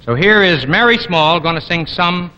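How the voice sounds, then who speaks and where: Bright-voiced male TV host. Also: sits high, expressive, moderate pace, clear, resonant tone, highs cut off. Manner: chatty, rambling introductions. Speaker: TV variety show host. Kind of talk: live broadcast introduction.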